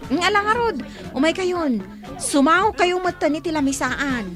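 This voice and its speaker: A woman acting a friendly, level-headed young village friend in an Ilocano radio drama, chatty and gently chiding, with an Ilocano accent. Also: extremely high pitch, animated, a little slow, music in the background. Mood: gracious, friendly.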